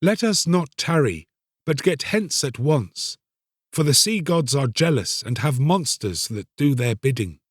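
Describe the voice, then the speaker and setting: A man voicing a coaxing, higher-pitched tempter soul. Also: animated, measured pace, slightly rough, no echo, clean studio sound. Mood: urging, sly. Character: fisherman's cast-off soul, coaxing, sly, soothing tempter. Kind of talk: dramatised storybook reading.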